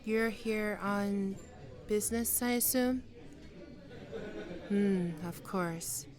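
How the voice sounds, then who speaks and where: A woman playing a flustered, wistful but proud writer facing a former lover in an intimate audio roleplay, halting and sighing as she speaks. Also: medium pitch, a little mellow, some chatter behind. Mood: wistful, uneasy. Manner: hesitant, halting, with sighs and trailing off. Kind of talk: intimate ASMR roleplay acting.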